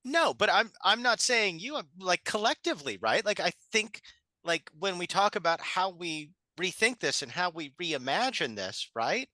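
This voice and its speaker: Male panelist, higher-pitched and piercingly bright, emphatic, stop-start casual delivery. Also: big pitch swings, dry recording. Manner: emphatic, halting, checks in with 'right?'. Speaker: theatre panelist.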